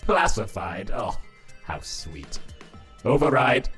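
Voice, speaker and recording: A man's voice: lowish voice, very animated, slow, fairly bright tone, faint music behind, heavily acted. A man voicing a vain, irritable robot ruler in a cartoon fan dub, haughty, theatrical and exasperated. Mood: brisk, worried. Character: vain, pompous, irritable robot ruler, self-glorifying schemer.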